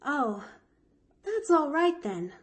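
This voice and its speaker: A cheerful little orphan girl gushes in breathless, earnest bursts, as a woman reader puts her on. Her voice rides high and swoops all over, unhurried for all its eagerness. A storybook reading. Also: very animated, heavily acted. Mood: eager, wistful.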